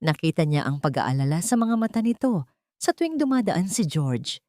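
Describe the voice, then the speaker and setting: A woman's voice: lowish voice, sing-song, moderate pace, mellow, dry recording. Dry-voiced woman narrating drama. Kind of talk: scripted dramatic story narration.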